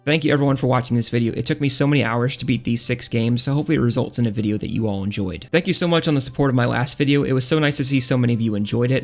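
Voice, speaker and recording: A man's voice: medium pitch, vocal fry. A man narrating a scripted video game review in a casual, blunt, opinionated way. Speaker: video essayist reviewing video games.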